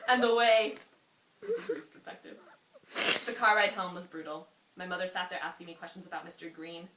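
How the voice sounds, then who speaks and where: Low-voiced woman reading aloud in an echoey room. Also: a bit bright. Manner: giggly, informal. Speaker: amateur reader. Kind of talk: reading a story aloud, breaking into laughter and asides. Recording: roomy echo, faint hiss.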